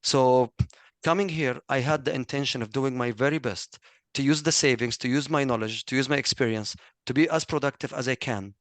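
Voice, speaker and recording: A middle-aged man with a thick Egyptian accent speaks earnestly, pausing on fillers and correcting himself as he goes. His voice sits in a middle pitch. The sound is dry and close, with no room echo. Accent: Egyptian Arabic. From Egypt, raised in Kuwait. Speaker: former student speaker. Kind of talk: webinar testimonial talk.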